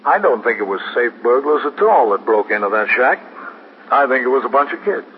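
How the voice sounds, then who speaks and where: An American man playing a steady, concerned police captain in a 1950s radio drama, speaking in a measured, matter-of-fact way. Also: medium pitch, natural voice. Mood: concerned, businesslike. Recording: dull, noisy 1950s radio recording.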